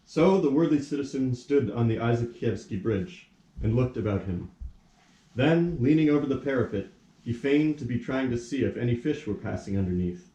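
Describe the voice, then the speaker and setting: A man's voice: mid-range voice, expressive, moderate pace, dark tone. A man narrating a scripted story for a puppet play, recorded at a distance in a roomy space.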